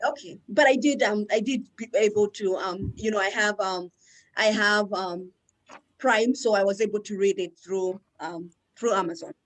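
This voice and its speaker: A woman's voice: mid-range voice, moderate pace, low-quality recording. A woman hosting an author interview, asking questions in a friendly, hesitant way with many fillers. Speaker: interview host. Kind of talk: casual interview talk.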